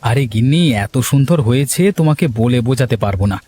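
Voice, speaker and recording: Man voicing a fast, excitable village husband. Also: mid-range voice, a little hiss or hum, natural voice. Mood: fussy. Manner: fussy, hurried, stammers when scared. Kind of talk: cartoon voice acting.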